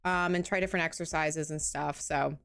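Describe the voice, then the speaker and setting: A woman's voice: low voice, fairly even intonation, brisk, creaky, a bit bright, smooth, soft background music. A woman streaming a game, chatting casually with her viewers in a bubbly, giggly, self-deprecating way, sounding tired.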